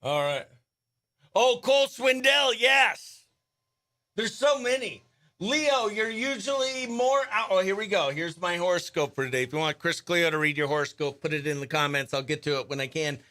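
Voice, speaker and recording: A man hosting a radio show, chatting in an informal, excitable, slangy way. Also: high voice, moderate pace. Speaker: radio host. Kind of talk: casual behind-the-scenes chat and upbeat radio interview.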